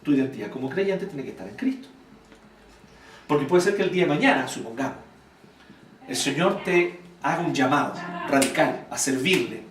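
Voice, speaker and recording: A Chilean man teaches a church group in a blunt, animated way, keeping people engaged with questions. His voice sits high and swings widely in pitch. It is heard from a distance in an echoey room with a faint noise behind it. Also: normal speed, long pauses. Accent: Chilean Spanish. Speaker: church speaker teaching volunteers. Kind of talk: informal church teaching talk with audience questions.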